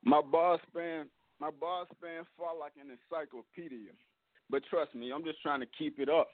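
Brief: male rapper caller, slightly high voice, tinny dry phone line. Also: steady tone, moderate pace, no echo. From Virginia. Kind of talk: freestyle rap over a call.

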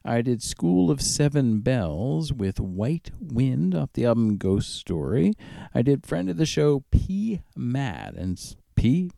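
A man hosts a music radio show in a conversational, pausing style. His pitch moves in a lively way over a gravelly, fry-edged voice. The sound is dry and close, with music playing behind him. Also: no room sound. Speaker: radio DJ and host. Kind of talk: casual music radio back-announcing. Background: background music.

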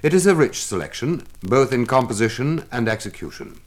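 A man giving a formal, measured scripted narration as an announcer, recorded on a 1950s radio transcription disc. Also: mid-range voice, big pitch swings. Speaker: announcer of a classical music demonstration record.

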